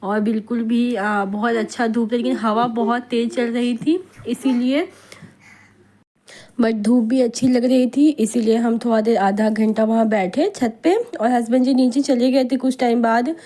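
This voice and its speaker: A woman in her thirties from India, hoarse with a cold, narrating her home vlog in a chatty, rambling voice-over and mixing English words into her talk. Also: fairly high pitch, steady tone, measured pace, dark tone. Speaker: vlogger.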